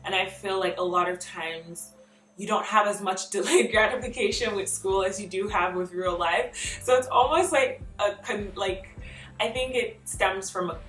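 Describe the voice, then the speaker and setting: A young woman vlogging, chatting through tag questions in an animated way, laughing often and filling her talk with "like.". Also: medium pitch, normal speed. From Nigeria. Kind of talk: casual chat answering tag questions. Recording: roomy echo, mic a bit far off, faint music behind.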